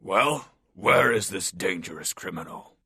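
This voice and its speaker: A man playing a big, brooding executioner in an audio drama, terse, suspicious and intense, with a rough, husky voice. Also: sits low, expressive, a little slow, sharp tone, no room sound, clean studio sound, heavily acted. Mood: menacing.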